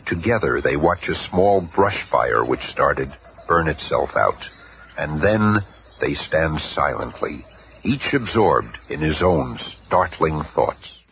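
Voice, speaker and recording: An actor playing a dramatic, grandiose storyteller in a 1970s superhero radio drama. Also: male voice, rumbling low, creaky, exaggerated voice. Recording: muffled, noisy 1970s radio play recording.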